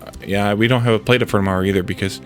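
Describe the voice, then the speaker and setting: Stuffy-nosed guy with a lowish voice, muttering to himself on stream, soft music in the back. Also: steady tone, very fast. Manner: thinking aloud, muttering to himself while building. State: congested from a head cold. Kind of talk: casual let's-play commentary.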